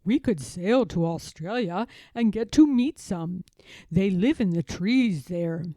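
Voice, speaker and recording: A kindly old grandfather bear, once a sea captain, played by a woman reading a storybook. He talks very slowly and with emphasis, reassuring and drawn out, in a lively rise and fall. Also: mid-range voice, no room sound. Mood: explaining, kindly.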